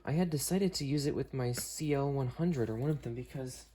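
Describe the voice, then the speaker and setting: A man's voice: medium pitch, fairly quick, warm tone. Man thinking aloud, some background noise. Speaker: host of an unboxing video. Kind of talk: casual unscripted product demo with friends on a call.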